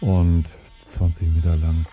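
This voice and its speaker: Man, casual recollection, hesitant, thoughtful, very deep voice, slow. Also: flat delivery, lo-fi sound, music in the background. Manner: hesitant, thoughtful, with small fillers. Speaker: interviewee recalling childhood.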